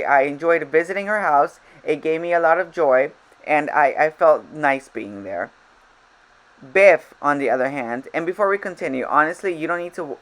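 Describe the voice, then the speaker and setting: A woman's voice: deep voice, poor audio. A woman whose first language is Spanish, chatting over gameplay in a casual voiceover. She rambles and interrupts herself, a little frazzled. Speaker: game vlogger.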